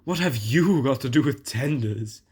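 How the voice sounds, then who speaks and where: A man's voice: medium pitch, lively intonation, moderate pace, breathy edge. A man voicing a jealous, self-important steam engine who complains indignantly in a children's storybook. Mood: startled.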